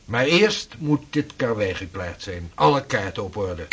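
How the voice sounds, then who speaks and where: An actor playing an earnest 16th-century navigator and mapmaker who talks in a measured, formal way. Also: male voice, fairly low pitch, lively intonation, fairly bright tone, a little hiss or hum. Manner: measured, formal, old-fashioned speech. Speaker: seafaring cartographer. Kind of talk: radio drama acting.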